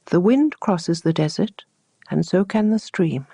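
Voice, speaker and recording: Woman playing mysterious desert sands, storybook, calm, instructing, certain, unhurried, dry recording. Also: lowish voice, creaky, breathy edge, dry recording, heavily acted. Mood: calm, knowing.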